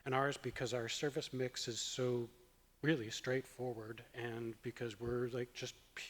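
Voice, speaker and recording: A man giving a city report at a council meeting, speaking haltingly with many fillers and restarts. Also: mid-range voice, flat delivery, long reverb tail. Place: council chamber.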